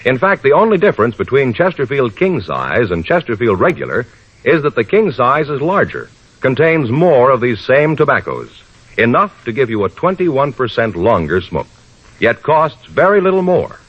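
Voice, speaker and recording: Husky american guy doing old radio announcing, lots of swing in the pitch, muffled vintage sound. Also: very animated, normal speed. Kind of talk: 1950s radio announcing, scripted. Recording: dull vintage radio broadcast recording.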